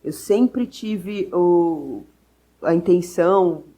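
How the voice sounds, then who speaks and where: Candid young woman, low voice. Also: drawn-out words, warm tone, poor audio. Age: young adult. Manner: candid, reflective. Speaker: guest. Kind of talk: personal storytelling in an interview.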